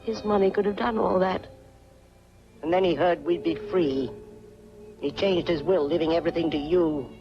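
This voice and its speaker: An actress playing a mousy older aunt who is a coldly menacing murderess, speaking deliberately in 1940s film style. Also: female voice, lowish voice, over music, lightly acted. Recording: dull 1940s film soundtrack from VHS. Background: prominent film score.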